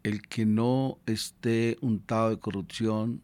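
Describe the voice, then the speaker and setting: A man's voice: lowish voice, fairly even intonation, takes it slowly, darkish tone. Teasing, irreverent Colombian radio host, a man. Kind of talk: informal, slangy radio interview.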